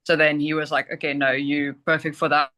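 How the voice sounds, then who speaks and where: A woman's voice: sits low, level tone, brisk, sharp tone. A young woman, a junior bodybuilding athlete, chatting casually in an interview and filling her talk with "like". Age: young adult. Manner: chatty, peppers speech with "like".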